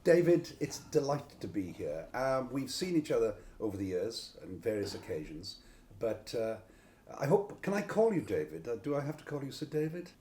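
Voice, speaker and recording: An older man, interviewing conversationally in a courtly, wordy, gently teasing manner. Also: mid-range voice, sing-song, normal speed. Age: 70s or older.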